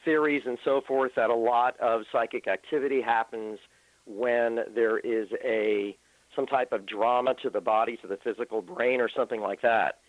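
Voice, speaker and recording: A man's voice: mid-range voice, fairly even intonation, normal speed, no room sound. A man in his fifties, a guest on a radio interview, answering earnestly and at length with many ums. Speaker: paranormal researcher guest. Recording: tinny phone line, dry.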